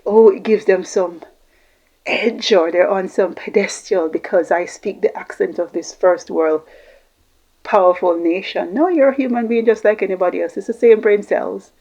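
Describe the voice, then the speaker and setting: A woman's voice: mid-range voice, warm tone. Lady just explaining stuff informally, repeats herself to make a point, bit lo-fi sound. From Jamaica, Caribbean. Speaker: host giving communication tips.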